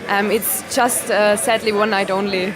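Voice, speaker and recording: Austrian-accented woman comic, mid-pitched and laughing, interviewed at a venue with music playing loud. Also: steady tone, crowd noise, rough recording. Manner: laughs often, halting non-native phrasing. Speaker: stand-up comedian, interview guest. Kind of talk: casual interview answers.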